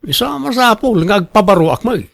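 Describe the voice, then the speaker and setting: An actor with an Ilocano accent playing a boastful, defensive husband who loves showing off, in a radio drama. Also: male voice, high voice, expressive, fairly quick, vocal fry, dry recording, full character voice. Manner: showy, bragging. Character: boastful, defensive husband and co-worker obsessed with costly branded clothes.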